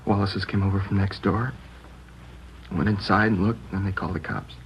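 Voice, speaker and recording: A man's voice: sits low, fairly even intonation, a little hiss or hum. A shaken teenage boy. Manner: halting, upset, voice rising on the worst parts. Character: shaken teenage boy whose mother was killed. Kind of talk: 1950s radio drama acting. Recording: dull lo-fi old radio broadcast.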